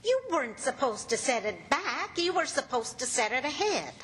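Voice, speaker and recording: Lady doing a teasing patient housewife, old radio comedy, high voice, slightly noisy recording. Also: sing-song, normal speed, fairly bright tone. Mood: wry, peacemaking.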